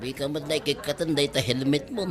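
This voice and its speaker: A man's voice: on the high side, fairly even intonation, brisk, a crowd in the background, natural voice. A man playing a jovial buddy who ribs his friends in a comedy drama, teasing and familiar. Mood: amused teasing. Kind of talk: Ilocano comedy drama acting.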